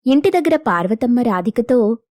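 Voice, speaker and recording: A woman's voice: on the high side, lively intonation, normal speed, dark tone, no echo, studio quality. A woman storyteller reading a scripted moral story as narrator.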